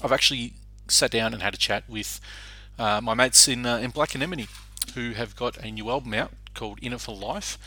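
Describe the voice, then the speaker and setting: Bright-voiced man hosting a music show. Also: mid-range voice, brisk, breathy edge, no room sound. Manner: chatty, with fillers and restarts. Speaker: radio show host. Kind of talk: casual music show presenting.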